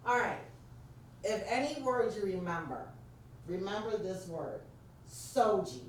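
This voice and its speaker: A woman with a New York accent and a deep voice, presenting an interactive workshop and prompting her audience directly. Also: expressive, unhurried. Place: church meeting room. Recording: very echoey room, distant mic, faint noise.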